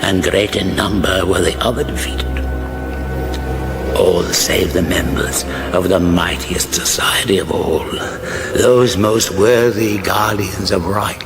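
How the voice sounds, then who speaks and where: A man's voice: low voice, takes it slowly, music in the background. A man acting as the grave, portentous narrator of a mock-epic fantasy radio comedy. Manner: portentous, grandly solemn.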